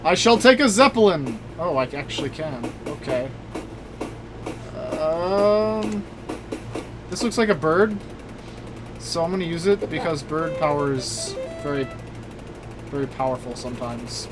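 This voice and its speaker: A mid-pitched gamer talks through a let's play over prominent game music. The delivery is casual and rambling, joking and profane, with an excitable streak. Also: unhurried, pauses often. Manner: excitable, with bursts of exclamation. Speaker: gaming video host. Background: loud video game music.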